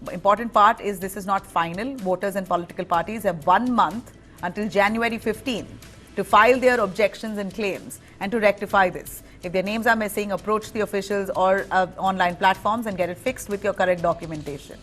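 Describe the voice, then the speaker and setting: A woman with an Indian accent reading a live news bulletin as anchor, in a clear, firm delivery. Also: mid-range voice, fairly quick, fairly bright tone, faint music behind.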